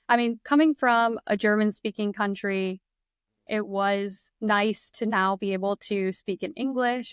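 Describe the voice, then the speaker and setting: A woman's voice: medium pitch, clean tone, no echo, studio quality. A young woman with a Midwestern American accent chatting casually and enthusiastically, laughing often. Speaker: guest, English teacher. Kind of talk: casual conversation.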